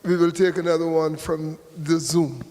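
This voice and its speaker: A man chairing a meeting, announcing the next question deliberately. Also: on the high side, fairly even intonation, a little mellow. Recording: distant voice in a reverberant hall.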